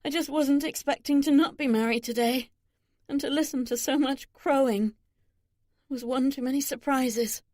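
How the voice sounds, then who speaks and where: A woman's voice: high voice, steady tone, normal speed, darkish tone, no echo, studio quality, voice pushed up, natural voice. A woman voicing a hurt, proud young daughter in an audiobook novel, emotional and defiant. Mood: hurt, bitter.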